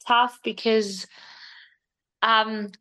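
A woman from Spain with a Spanish accent, a native Spanish speaker in her thirties, talking as a podcast guest in a direct, expressive way with hesitant fillers. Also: a little high, extremely slow, low-quality recording. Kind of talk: casual interview conversation.

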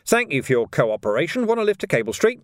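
A man's voice: a little high, very animated, normal speed, dry recording, clean studio sound, pitched above the performer's own voice. A man voicing a wily male police commander who needles a suspect with sardonic, mock-friendly talk. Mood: cheerful sardonic.

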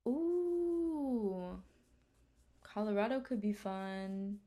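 A woman's voice: medium pitch, extremely slow, lo-fi sound. A young woman co-hosting a podcast, chatting casually with lots of fillers and casual swearing. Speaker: podcast co-host. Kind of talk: casual chat.

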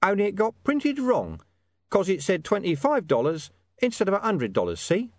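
Man putting on a high, shifty talking-dog informant. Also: huge pitch swings, moderate pace, a little mellow, no room sound, clean studio sound. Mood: sly, conspiratorial. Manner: streetwise, evasive, menacing slang. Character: shady, wheedling talking dog posing as mysterious informant.

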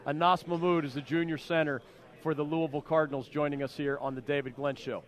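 A man's voice: fairly high pitch, fairly even intonation, fast talker. A man hosting a radio interview, talkative and jokey as he asks questions. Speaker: radio show host.

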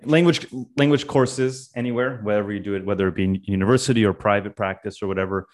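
A young man from California, a podcast guest telling his story in a loose, casual way with many pauses and "uh"s. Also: fairly low pitch. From California, USA. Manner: loose, thinks aloud with many "uh"s.

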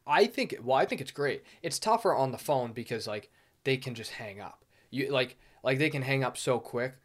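Man, mid-pitched voice, casual podcast chat, anecdotal, acts out quoted dialogue. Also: normal speed. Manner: animated anecdotes, acting out phone exchanges in quotes.

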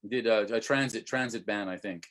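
A man's voice: lowish voice, fairly quick, a bit bright. A man hosting a casual livestream interview, keen and chatty, often repeating his words and calling his guest man. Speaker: livestream host.

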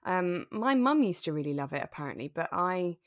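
A woman's voice: fairly low pitch, very animated, a little fast, no room sound, clean studio sound. A woman co-hosting a podcast, chatting casually and informally about a radio drama. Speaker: podcast co-host.

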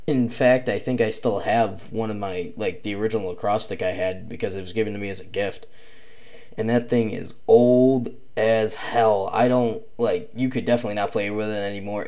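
A man's voice: on the low side, a little mellow. Young man hosting a rambling podcast. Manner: rambling, many ums and restarts. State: slight cough, chest feels off. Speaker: podcast host. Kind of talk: casual solo podcast talk.

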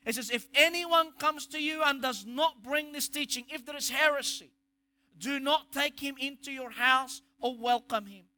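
A man's voice: very high-pitched, normal speed, pushed and bright. A man with an Egyptian accent preaching a sermon, emphatic, asking rhetorical questions and restarting phrases. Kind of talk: sermon on scripture.

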